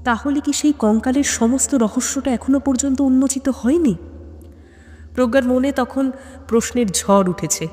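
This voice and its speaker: A woman's voice: high voice, moderate pace, warm tone. Woman narrating suspense in long reverb. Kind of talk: dramatic scripted suspense narration.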